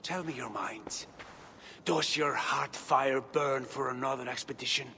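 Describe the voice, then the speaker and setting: An actor playing an eager Viking adventurer in a game, speaking in an earnest, rousing manner. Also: male voice, medium pitch, a little slow, gravelly, bright, forceful tone, slight background noise. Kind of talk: video game cutscene acting.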